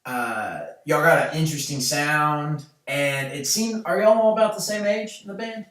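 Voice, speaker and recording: A man's voice: on the high side, moderate pace, fairly bright tone. A man, hosting a podcast in loose, rambling casual chat full of fillers and restarts. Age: late 20s. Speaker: podcast host. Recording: echoey room, mic far off.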